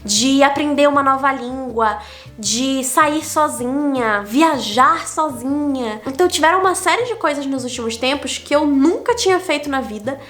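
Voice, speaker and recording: Woman, Brazilian accent, young, high-pitched, candid vlog talk, numbered lessons, faint music behind. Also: expressive, normal speed, a bit bright. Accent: Brazilian Portuguese. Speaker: digital nomad vlogger. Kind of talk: casual reflective vlog monologue.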